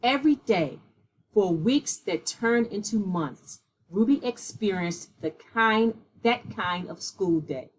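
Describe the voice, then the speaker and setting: A woman with a slightly husky voice reading a children's picture book aloud in a measured, deliberate way. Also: medium pitch, animated, a little hiss or hum, poor audio. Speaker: read-aloud storyteller.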